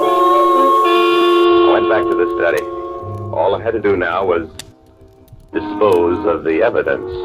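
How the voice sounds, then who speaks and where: A man's voice: lowish voice, poor audio. An actor playing a bitter, gloating murderer confiding his crime in hardboiled slang, in 1940s radio drama style. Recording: old radio recording with music behind.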